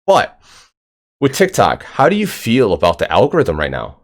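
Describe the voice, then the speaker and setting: A man's voice: fairly low pitch, huge pitch swings, moderate pace. A man hosting a casual interview podcast, talking in a loose, rambling way with lots of fillers. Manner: rambling, filler-heavy, upbeat. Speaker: podcast host.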